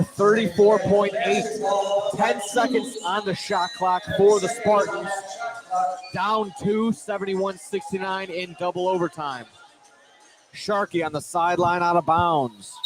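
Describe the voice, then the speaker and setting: High-pitched male play-by-play basketball announcer. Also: fairly even intonation, measured pace, over loud music. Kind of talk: live sports radio play-by-play.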